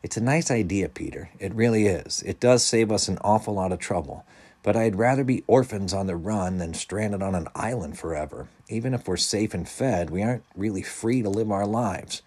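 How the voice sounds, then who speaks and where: A man's voice: fairly low pitch, moderate pace, darkish tone, breathy edge, voice pushed up. A man voicing a sensible, candid teenage orphan girl in a storybook, speaking in a frank, reasoning way. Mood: gentle, firm.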